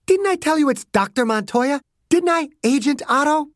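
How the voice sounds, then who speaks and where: A furious, defiant woman doctor who yells and curses at the men around her, as a male reader puts her on. The voice jumps high and swings wildly in pitch. The recording is dry and close. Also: wildly swooping pitch, fairly quick, husky, dry recording, heavily acted. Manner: shouting, swearing, accusatory.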